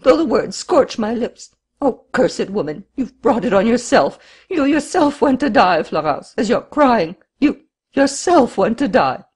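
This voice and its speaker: A woman voicing a murderous crippled man who lurches between frantic pleading and furious shouting in an audiobook. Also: fairly low pitch, animated, moderate pace. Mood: raging. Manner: wild swings from pleading to shouting.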